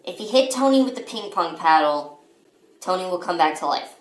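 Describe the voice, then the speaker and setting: A woman's voice: on the low side, expressive, moderate pace, lightly acted. A woman acting in a comedy skit as a stubborn, teasing young woman with a dry, unimpressed manner, recorded in a roomy space. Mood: wearily amused. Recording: roomy, echoing indoor sound.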